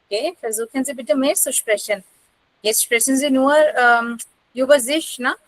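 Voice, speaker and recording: A woman's voice: sits high, moderate pace, no echo, rough recording. A woman with an Indian accent teaching an online language class, prompting learners with short questions and tags.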